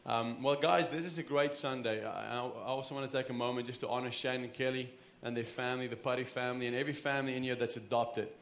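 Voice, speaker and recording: A man's voice: mid-range voice, fairly even intonation, fast, few pauses, fairly bright tone, reverberant, a little hiss or hum. A man in his thirties with a South African accent, preaching an earnest, conversational sermon with frequent restarts. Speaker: pastor. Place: church sanctuary.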